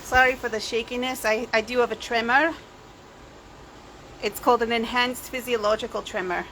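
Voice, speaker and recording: A woman's voice: a little high, fairly even intonation, normal speed, a bit bright, low-quality recording. A woman with a Scottish-sounding accent chatting casually in a rambling, self-deprecating way, as a home vlogger on a handheld camera. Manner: meandering, self-deprecating asides. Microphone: handheld camera or phone. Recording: clear background noise, handheld home video.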